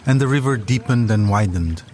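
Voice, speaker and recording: A man's voice: lowish voice, expressive, normal speed, darkish tone, a little hiss or hum. A man delivering scripted documentary narration as a podcast narrator, telling a story in composed sentences.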